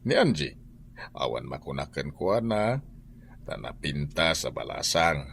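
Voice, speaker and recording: A man's voice: rumbling low, sing-song, unhurried, vocal fry, fairly bright tone, slight background noise, heavily acted. A man playing a kindly, paternal master of the house in a radio drama, reassuring, with an Ilocano accent. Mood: matter-of-fact. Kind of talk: Filipino radio drama acting.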